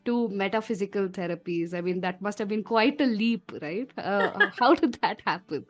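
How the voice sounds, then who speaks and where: A woman's voice: medium pitch, moderate pace. A woman hosting a podcast, reading a polished intro and then interviewing a guest. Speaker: podcast host, coach.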